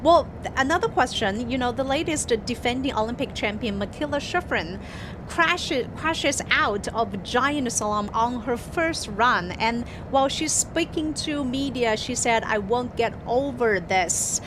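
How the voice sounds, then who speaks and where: Woman host with steady background noise. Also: a little high, a little slow. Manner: conversational, hedging with fillers. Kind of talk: live news talk show.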